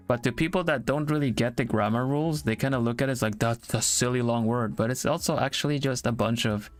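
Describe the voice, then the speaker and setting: Man, mid-pitched, casual streamer chat, halting with restarts, light music behind. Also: darkish tone. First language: Swedish. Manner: halting, repeats words while searching for them.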